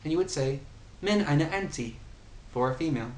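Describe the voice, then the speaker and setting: A man's voice: a little high, expressive, racing, a little mellow. A man giving a casual language lesson, patiently explaining and repeating example phrases. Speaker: language tutor. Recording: echoey room, faint ambient noise.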